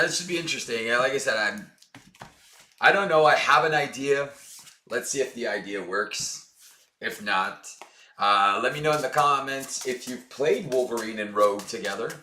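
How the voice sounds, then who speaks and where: A hoarse man chatting casually as he plays through a card game for his channel, upbeat and full of fillers. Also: lowish voice, sharp tone, echoey room, a little hiss or hum. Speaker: board game channel host. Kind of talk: casual tabletop game playthrough.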